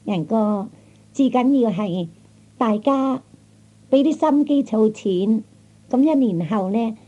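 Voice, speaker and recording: Casting: actress as chiding young Cantonese fiancée, highish mellow voice, affectionate, sceptical, lo-fi old radio sound. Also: female voice, expressive, slow. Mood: gently chiding. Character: young fiancée, practical and gently scolding. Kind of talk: 1960s Cantonese radio comedy acting. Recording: dull, lo-fi vintage radio recording.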